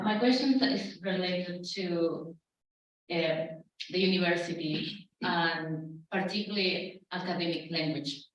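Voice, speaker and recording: Low-voiced woman asking from afar. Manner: hesitant, many fillers, warm laughs. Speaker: audience member asking a question. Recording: very echoey hall, distant mic.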